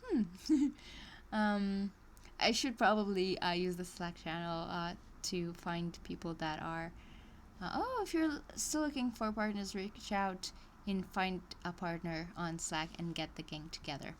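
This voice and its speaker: A woman's voice: fairly low pitch, expressive, unhurried, a little hiss or hum. A woman live streaming as she reads an online course aloud, breaking off into chatty asides and small laughs. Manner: hesitant, many ums and restarts. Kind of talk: casual live-stream reading with commentary.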